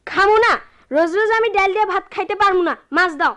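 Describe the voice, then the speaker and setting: A spoiled little boy in a radio play, sulky and defiant. The voice is extremely high and fast, with Dhaka-area Bangladeshi speech. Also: fairly bright tone, slight background noise. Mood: petulant, defiant. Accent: Bangladeshi, Dhaka-area dialect. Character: petulant, spoiled young boy refusing his dinner. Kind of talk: radio drama acting.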